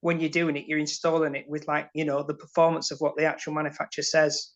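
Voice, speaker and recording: A man's voice: on the high side, monotone, fast, a bit bright. A man, a builder, talking earnestly and rambling a bit about his frustrations on a webinar video call. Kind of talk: casual chat on a webinar call. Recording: muffled video call audio.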